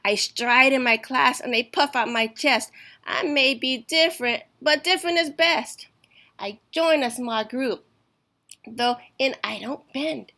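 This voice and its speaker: Female storytime reader: unhurried, emphatic delivery, slightly high and bright, for rhyming picture books. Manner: slow, deliberate, emphatic storytelling. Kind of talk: expressive read-aloud of a rhyming children's picture book.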